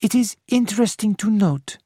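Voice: mid-range voice, expressive, normal speed, mellow, breathy edge, dry recording, studio quality, voice pushed up. A narrator voicing a fussy elderly Belgian male detective who speaks formally and precisely. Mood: courteous. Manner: formal, polite, precise phrasing.

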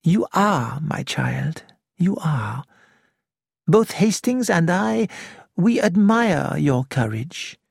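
A reader voicing an elderly male detective who speaks gently and slowly, with a self-assured manner. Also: mid-range voice, expressive, gravelly, no echo, clean studio sound, voice pushed up. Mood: gentle. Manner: gentle, measured, slow.

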